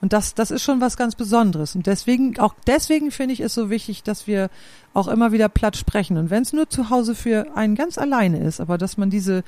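A woman's voice: mid-range voice, expressive, brisk, warm tone. A woman, hosting a casual conversation and thinking aloud, often searching for words with many fillers. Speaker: podcast host and singer.

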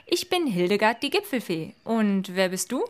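An actress playing a polite but calculating summit fairy in a fairy-tale audio drama, chatty and condescending. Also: female voice, mid-range voice, very animated, normal speed, lightly acted. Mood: brisk, polite.

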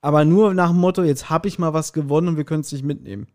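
A man with a fairly high voice chats casually as a podcast co-host. His banter is dry and pokes fun at himself. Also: fairly even intonation, fast, darkish tone. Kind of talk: casual chat.

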